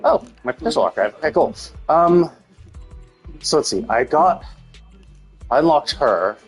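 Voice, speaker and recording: A man giving casual, unscripted gameplay commentary on a live stream, rambling and thinking out loud in a husky voice. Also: on the high side, animated, measured pace, faint music behind.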